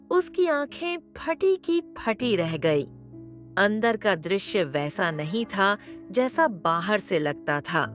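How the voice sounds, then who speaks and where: A woman narrating a cartoon story in an expressive, measured storytelling manner. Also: medium pitch.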